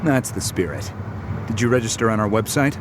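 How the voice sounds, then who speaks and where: Give me a man playing a low-voiced, casual security recruiter. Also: expressive, fairly quick, close to an everyday voice. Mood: matter-of-fact. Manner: casual, matter-of-fact, wry. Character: gruff, chatty male security recruiter, sizing people up. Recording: noisy outdoor ambience behind.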